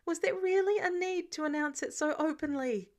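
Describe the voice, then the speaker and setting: A woman reads fiction aloud in a fairly high voice. Her delivery is scripted storytelling, expressive and shaped like an audiobook. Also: moderate pace. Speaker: fan fiction audiobook reader.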